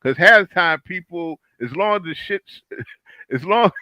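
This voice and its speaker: A man's voice: high-pitched, lively intonation, a little slow, no echo, lo-fi sound. A man in a heated panel discussion, speaking emphatically and repeating his phrases for emphasis.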